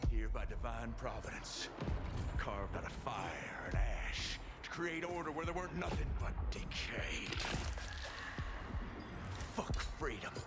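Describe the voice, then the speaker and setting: A man's voice: medium pitch, slow, lightly acted. A man playing a menacing, self-righteous warden villain in a video game, preaching grandly with a Southern US accent. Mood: righteous fury. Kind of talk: dramatic video game cutscene acting. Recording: loud music under the voice.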